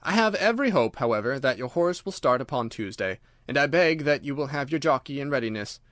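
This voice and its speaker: A man voicing a confident, brisk Victorian gentleman detective in an audiobook, courteous, assured and playfully secretive. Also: mid-range voice, lively intonation, no echo, clean studio sound, natural voice. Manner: courteous, assured, formally worded.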